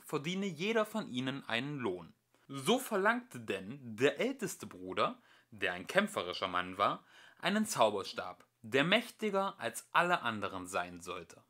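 A man reading a storybook aloud as an amateur narrator, with expressive, emphatic delivery. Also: mid-range voice. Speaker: amateur audiobook reader.

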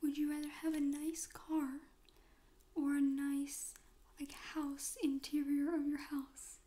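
A young woman hosts a soft-spoken ASMR interview roleplay, mostly in a breathy whisper. Her voice is high yet mellow, and she takes her time, putting gentle, informal questions with pauses between them. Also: flat delivery. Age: young adult. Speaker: ASMR host. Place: small room at home, indoors.

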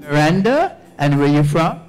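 A slightly high-pitched older man speaking into a handheld mic as he moves through a church sanctuary. He welcomes each visitor, repeats their names and prompts the congregation for an amen. Soft music plays behind him. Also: sing-song, moderate pace, poor audio. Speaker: pastor. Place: church sanctuary, walking among pews.

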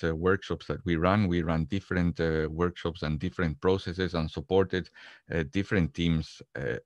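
A middle-aged man from Peru with a very low voice, introducing himself in an online meeting. He explains things haltingly, and the headset keeps his voice close and dry. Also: no room sound. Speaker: experience capitalization specialist. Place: book-lined office indoors, on a video call.

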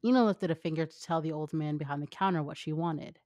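A woman's voice: low voice, fast talker, mellow, no room sound, studio quality. A young woman co-hosting a fan fiction reading, moving between casual banter and reading aloud.

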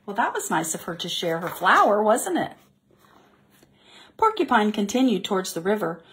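Picture-book reader: woman, mid-range voice, animated melody, deliberate storytelling for preschoolers. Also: mid-range voice. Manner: deliberate, expressive reading for young children. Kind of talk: expressive picture-book read-aloud.

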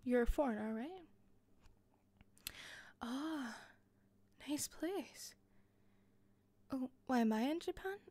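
A woman with a softened Scottish accent playing an attentive hostess in a roleplay, speaking softly into a close mic with frequent giggles and whispers. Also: high voice, expressive, takes it slowly, long pauses, dry recording. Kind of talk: listener-directed roleplay.

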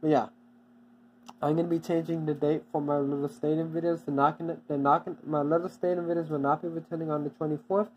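Casual channel update, repetitive, stop-start delivery, middle pitch, soft background hum. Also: flat delivery, muffled recording. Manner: halting, repeats itself often, many restarts. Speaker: channel owner giving an update.

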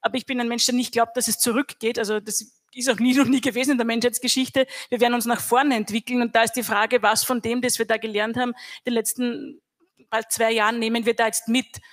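A middle-aged woman with an Austrian accent speaking as a guest on a stage panel into a handheld mic, measured and reflective. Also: fairly high pitch. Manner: measured, reflective, even delivery. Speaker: panel guest, academy head. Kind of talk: panel discussion.

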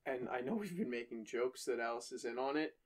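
A man's voice: mid-range voice, brisk. A man co-hosting a podcast in casual chat, joking, swearing and interrupting the story reading. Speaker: podcast co-host.

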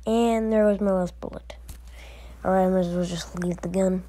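A woman performing acted voicelines for a fan animation with slow, deliberate delivery. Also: medium pitch, steady tone, dark tone. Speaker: amateur voice actor.

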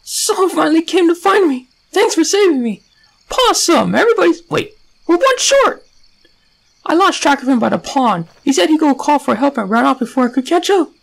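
Man putting on a squeaky, excitable little game creature. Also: moderate pace, creaky, full character voice, poor audio. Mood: squeaky, eager. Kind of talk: acted reading of game dialogue.

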